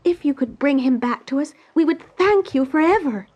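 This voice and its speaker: A woman's voice: high-pitched, expressive, normal speed, darkish tone, dull audio, pitched above the performer's own voice. A woman voicing a polite, eager young girl heroine in a children's storybook, speaking earnestly. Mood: pleading.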